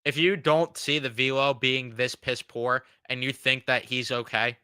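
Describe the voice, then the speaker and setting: A man in his twenties vents with blunt, frustrated, often profane commentary, though he is getting over a cold. His voice is very bright and crisp. The sound is close and dry. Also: medium pitch, level tone, a little slow, dry recording. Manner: blunt, frustrated, swears freely. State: fighting a cold. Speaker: sports fan show host. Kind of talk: casual reaction commentary.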